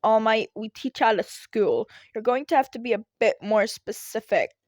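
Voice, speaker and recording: A woman's voice: fairly high pitch, expressive, normal speed, darkish tone, no echo, clean studio sound, natural voice. A woman voicing a tired, irritated male teacher who is dismissive and sardonic in a story reading. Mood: weary, sarcastic. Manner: sardonic, muttering, weary.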